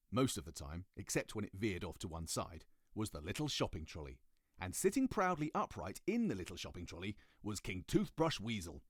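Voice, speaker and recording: British male narrator: lowish voice, very lively swings in pitch, children's audiobook storytelling. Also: husky, dry recording, clean studio sound.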